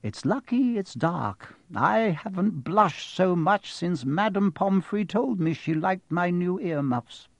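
Male narrator as old wizard, storybook reading, calm, measured, unhurried, gentle, close dry sound. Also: high voice, no echo, voice pushed up, heavily acted. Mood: calm, amused.

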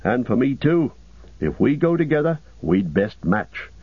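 Loyal hobbit manservant, played by a male reader, humble, homely, practical, husky, in a dull storybook recording. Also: mid-range voice, sing-song, measured pace, no echo, pitched above the performer's own voice, full character voice. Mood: steady, caring. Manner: deferential, homely rustic phrasing.

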